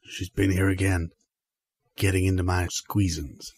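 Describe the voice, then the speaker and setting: A man voices a grumbling rustic fellow in an audio comedy sketch. The voice is very deep and folksy, with faint noise in the background. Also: level tone, a little slow, a little mellow. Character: grumbling rustic man guarding his homemade drink.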